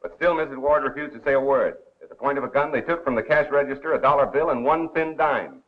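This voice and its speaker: Polished 1930s radio crime commentator, played by an actor, dull soundtrack. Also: male voice, medium pitch, lightly acted. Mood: measured storytelling. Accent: American. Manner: polished, measured announcer delivery. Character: suave, wry male radio crime commentator and amateur sleuth. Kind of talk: 1930s American film acting as a radio newscaster.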